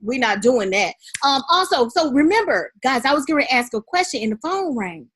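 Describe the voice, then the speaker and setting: A woman co-hosting a podcast in casual chat, lively and quick to take charge. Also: high voice, slightly rough. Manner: lively, laughing, takes charge. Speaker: podcast co-host.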